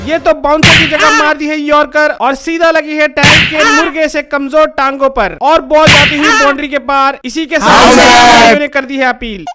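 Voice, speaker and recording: A man giving excitable, mock-dramatic cricket commentary for a comedy cartoon. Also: very high-pitched, moderate pace, few pauses, over loud music. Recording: clearly noisy.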